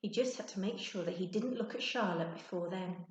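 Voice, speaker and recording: A woman giving an expressive children's storybook narration, like a teacher reading to her class. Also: medium pitch, fairly quick, muffled recording. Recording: echoey untreated room.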